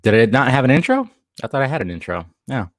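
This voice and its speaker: A young man with a hoarse voice, chatting casually and rambling to his live stream viewers from home. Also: mid-range voice, big pitch swings, quick pace, no room sound, studio quality. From Southern California. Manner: rambling, chatty, reads chat aloud, laughs often.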